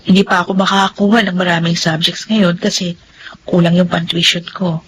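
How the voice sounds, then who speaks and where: Woman acting a frank, brisk young Filipina student. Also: fairly low pitch, flat delivery, a little hiss or hum, natural voice, rough recording. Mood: patient, upbeat. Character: spirited, outspoken working student, a young woman. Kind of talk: Filipino radio drama acting.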